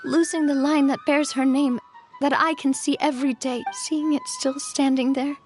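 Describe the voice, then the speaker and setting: An actress voicing a young woman who leads a group, earnest and soft-spoken, in a game. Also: high-pitched, fairly even intonation, moderate pace, soft background music, lightly acted. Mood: shaken. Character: young woman leader, warm but shaken. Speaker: boss of a group.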